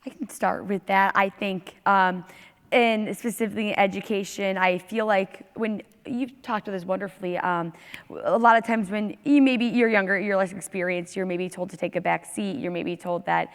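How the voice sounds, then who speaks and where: A woman speaking on a discussion panel about education, earnest, with a naturally loud voice and many fillers. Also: medium pitch, steady tone, moderate pace. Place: large hall stage. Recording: long reverb from a large hall.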